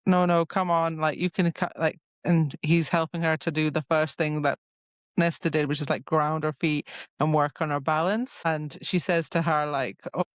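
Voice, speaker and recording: A woman's voice: low voice, fairly even intonation, moderate pace, no room sound, dull audio. A woman co-hosting a podcast, chatting casually about books in a talkative way, with lots of "like" asides. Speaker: podcast co-host. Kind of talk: casual book discussion.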